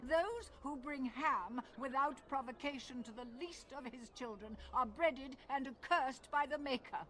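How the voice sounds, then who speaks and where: A woman's voice: high voice, measured pace, a bit bright. A woman voicing a pious, easily exasperated religious chanter who recites scripture in a video game. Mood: solemn.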